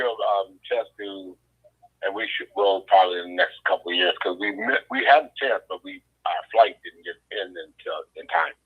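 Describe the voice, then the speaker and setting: Older guy, low husky voice, stop-start chatter over a muffled phone line. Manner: halting, with many fillers and restarts. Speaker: co-host. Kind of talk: casual phone-in chat.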